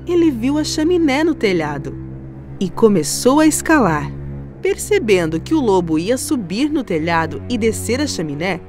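A woman's voice: mid-range voice, big pitch swings, normal speed, music in the background. A woman storyteller giving scripted narration of a children's tale.

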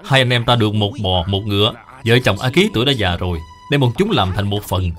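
A man's voice: on the low side, animated, fairly quick, a bit bright, faint music behind. A man voicing an arrogant, scheming rich young master in a cartoon, with a scornful manner. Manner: haughty, scornful.

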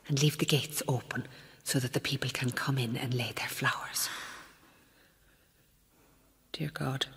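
An actress playing a devout, troubled Mother Superior in a radio drama, hushed and reflective, almost whispering. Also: deep voice, creaky, breathy edge, full character voice. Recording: long chapel-like reverb.

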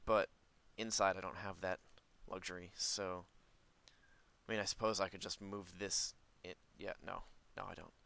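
Low-voiced man rambling through gameplay, crisp sound. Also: little pitch movement, normal speed, bright, forceful tone. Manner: rambling, thinking aloud. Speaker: gaming video host. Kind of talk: casual, unscripted gameplay commentary.

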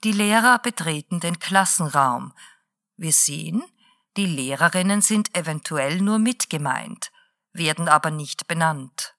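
A woman playing a late-night radio presenter who lectures in a slow, deliberate and didactic way. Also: low voice, animated, a bit bright, dry recording, studio quality, exaggerated voice. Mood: lecturing.

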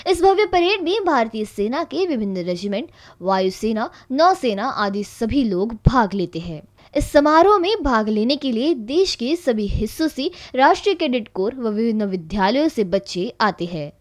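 A woman narrates a news report. Her pitch is on the high side. She reads scripted voice-over lines.

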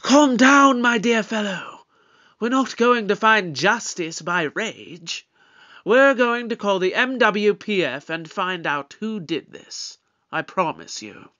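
Man acting a high-voiced, commanding detective Doctor. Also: lively intonation, slow, sharp tone, muffled recording, full character voice. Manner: theatrical, formal, authoritative. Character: eccentric, commanding alien Doctor leading a murder inquiry. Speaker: actor in an audio drama. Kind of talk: scripted audio drama acting.

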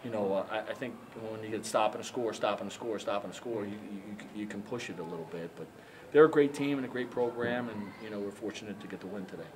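An older man, a basketball head coach at a postgame press conference, answering reporters haltingly with many fillers and repeated words. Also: lowish voice. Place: press room in front of a sponsor backdrop. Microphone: handheld mics held up by reporters. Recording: noisy press room.

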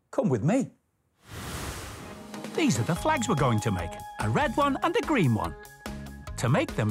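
A middle-aged man presenting and telling stories to children as a station master, expressive and clearly enunciated. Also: fairly high pitch.

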